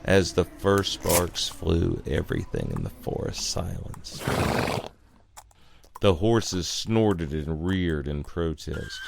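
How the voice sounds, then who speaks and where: Deep, gravelly male horror storyteller. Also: big pitch swings, slow pace, very noisy. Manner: ominous, theatrical. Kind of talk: dramatic scripted horror narration. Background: music.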